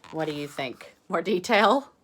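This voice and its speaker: A woman reads a picture book in a fairly low voice with very animated intonation. She takes her time, pausing for chatty asides and questions to her young listeners. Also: slight background noise. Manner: expressive, with questions and exclamations to the listener. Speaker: read-aloud storyteller.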